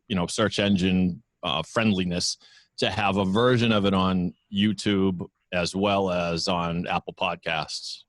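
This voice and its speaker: A man's voice: low-pitched, no room sound. A man talking casually as a guest on a video call from his studio microphone, chatty and self-deprecating. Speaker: podcast network founder, guest. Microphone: studio microphone with headphones.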